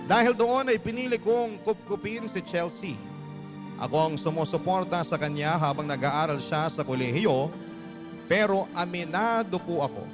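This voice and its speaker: A man hosting a radio show, narrating a listener's letter as dramatic scripted storytelling. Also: fairly high pitch. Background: background music.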